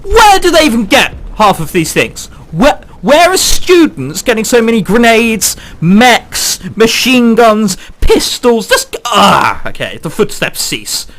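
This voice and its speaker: A man's voice: extremely high pitch, sing-song, takes it slowly, hardly any pauses, a bit bright. A man reading a visual novel aloud and breaking off into jokey, theatrical asides, with game music behind him. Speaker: let's play host.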